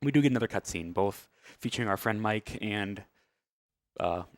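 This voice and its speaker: A man's voice: lowish voice, expressive, moderate pace, no echo. A man giving casual, laid-back live commentary as he speedruns a video game, hesitant and dryly joking. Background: loud video game music and sounds.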